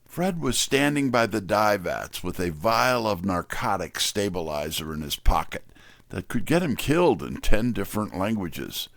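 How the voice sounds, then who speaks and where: A man's voice: fairly low pitch, big pitch swings, normal speed, creaky, a bit bright, no room sound, full character voice. A man playing a wry, streetwise detective's assistant, narrating a scripted mystery in the first person. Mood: wry, suspenseful.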